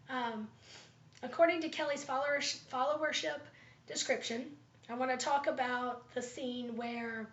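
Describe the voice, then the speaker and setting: A woman student giving a class presentation, thoughtful and pausing often with ums, her voice slightly raspy from allergies. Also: fairly high pitch, a bit bright. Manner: thoughtful, with frequent ums and pauses. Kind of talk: class presentation, leadership analysis. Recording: roomy, dull lo-fi recording.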